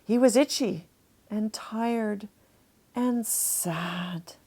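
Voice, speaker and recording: A woman narrating a children's storybook aloud, deliberately and expressively, lingering on key words. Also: mid-range voice, dark tone. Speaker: author reading a children's story.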